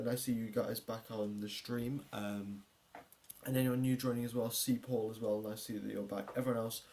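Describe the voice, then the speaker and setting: A man's voice: mid-range voice, dark tone. Man hosting a casual, rambling livestream. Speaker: livestream host. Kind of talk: casual livestream chat with viewers and race preview commentary.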